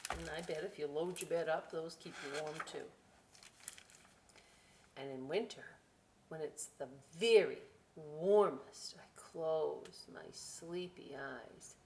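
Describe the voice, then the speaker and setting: A woman's voice: low-pitched, slow pace. A woman hosting a children's library story time and craft demonstration, talking in a folksy, playful way with chatty asides to young viewers. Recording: echoey room, some background noise.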